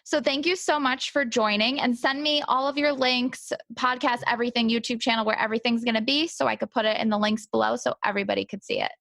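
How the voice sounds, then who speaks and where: A woman's voice: a little high, steady tone, fast, vocal fry, few pauses, a bit bright. A woman hosting a podcast in an enthusiastic, informal, chatty way. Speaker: podcast host. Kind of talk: casual chat.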